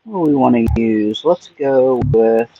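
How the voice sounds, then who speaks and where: A man's voice: medium pitch, lively intonation, measured pace, no room sound, lo-fi sound. A man hosting a casual livestream, joking and chatting to himself while he picks locks. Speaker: livestream host. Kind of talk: casual chat while lockpicking.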